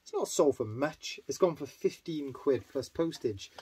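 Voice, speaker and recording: A man's voice: a little high, lively intonation, unhurried, dark tone, husky. A man in his thirties talking casually to camera about items he has resold, upbeat and chatty, in a room lined with shelves. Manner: chatty and upbeat, walking through sales. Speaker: eBay reseller vlogger. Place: indoor storage room lined with toy shelves.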